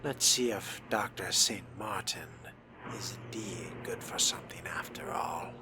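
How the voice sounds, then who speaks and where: A man's voice: deep voice, a little slow, whispered. A man narrating a role-playing game in character, with a pompous, disdainful manner and formal wording. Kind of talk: in-character roleplay commentary.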